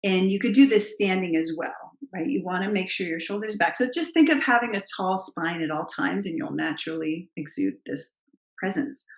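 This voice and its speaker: Woman, middle-aged, naturally low voice, emphatic coaching tone, vlog advice, roomy echo. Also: moderate pace. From Italian heritage. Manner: emphatic, expressive coaching delivery. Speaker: confidence coach. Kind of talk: direct-to-camera advice vlog. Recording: roomy, echoey room sound.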